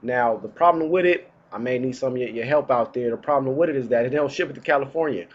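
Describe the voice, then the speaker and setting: A man's voice: medium pitch, quick pace, muffled recording. Laid-back man fighting off a cold. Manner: laid-back, chatty, slangy. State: getting over a cold. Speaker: fragrance reviewer. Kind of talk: casual vlog review. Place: indoors, small room.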